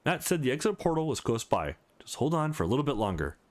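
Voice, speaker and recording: A man's voice: mid-range voice, big pitch swings, fast, lightly acted. A man voicing a caring, earnest young male student hero in a visual novel, with a reassuring manner.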